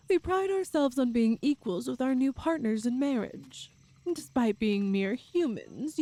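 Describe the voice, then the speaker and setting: Woman playing a slow-talking gentle Amazonian warrior woman. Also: on the high side, no echo. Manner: gentle, slightly formal, giggly phrasing. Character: tall strong Amazonian woman, cheerful, doting, eager. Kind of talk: ASMR roleplay audio drama.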